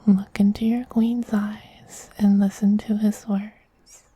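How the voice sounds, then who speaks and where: Man playing a breathy, whispering, doting vampire queen. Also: high-pitched, level tone, full character voice. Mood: soothing, loving. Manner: slow, tender, cooing, gently commanding. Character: doting, possessive, dominant femboy vampire queen soothing human pet. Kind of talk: soft-spoken ASMR roleplay.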